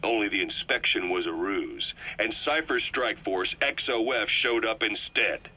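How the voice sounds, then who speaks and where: An actor playing a cold, calculating military interrogator in a video game cutscene, speaking with raspy, deliberate menace as he accuses. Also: lowish voice, expressive, pushed and bright, highs cut off, full character voice. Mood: cold accusation.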